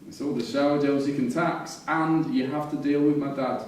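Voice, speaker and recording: Actor as high-pitched scheming male prisoner, echoey hall. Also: fairly even intonation, normal speed, a little mellow, close to an everyday voice. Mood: calculating, persuasive. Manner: needling, matey slang. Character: scheming, streetwise male prisoner, cocky fixer. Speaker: actor in a staged reading. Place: theatre room at a live staged reading. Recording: very echoey hall, distant mic, noise.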